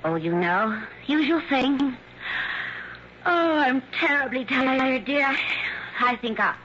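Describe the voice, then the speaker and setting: A noisy, dull old radio recording of a sweetly attentive, weary wife. Her voice is fairly high, airy and solicitous, in sixties radio drama style. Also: lively intonation, slow. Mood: sweet, tired. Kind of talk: 1960s radio drama acting.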